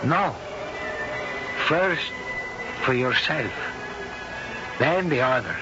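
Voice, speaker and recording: An actor playing a proud, grieving middle-aged Spanish aristocrat, grave and formal in a 1970s radio drama. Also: male voice, medium pitch, expressive, extremely slow. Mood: sorrowful, dignified. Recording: muffled 1970s radio broadcast with music.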